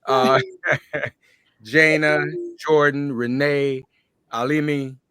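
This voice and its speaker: Bright, crisp American man hosting. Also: on the high side, fairly even intonation, very slow, dry recording, studio quality. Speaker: show host. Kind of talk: conversational interview hosting.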